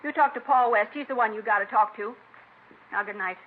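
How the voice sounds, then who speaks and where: A woman's voice: fairly high pitch, a little fast, lightly acted. An actress playing a brusque, suspicious woman who runs a small motel in a vintage radio crime drama, curt and defensive. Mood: angry, insistent. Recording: tinny, noisy vintage radio recording.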